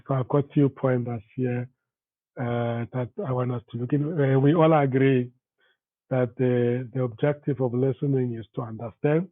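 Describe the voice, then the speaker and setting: A man with a slightly rough voice, leading a training session informally, haltingly repeating words and putting questions to participants. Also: mid-range voice, no echo. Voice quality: slightly rough voice from recent flu. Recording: thin phone-like call audio.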